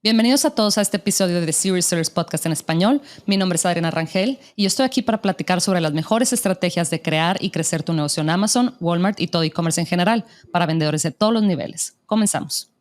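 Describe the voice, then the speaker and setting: A woman's voice: on the low side, quick pace, few pauses, faint music behind. A woman hosting a podcast interview, asking questions in a friendly, encouraging way and giving frequent short replies. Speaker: podcast host.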